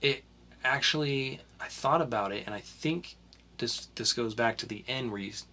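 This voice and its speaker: A young man co-hosts a casual podcast and reviews comics in an easygoing, rambling way, full of fillers and restarts. His voice is bright and forward, and his pitch sits in an ordinary middle range. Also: normal speed, slight background noise. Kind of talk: casual chat.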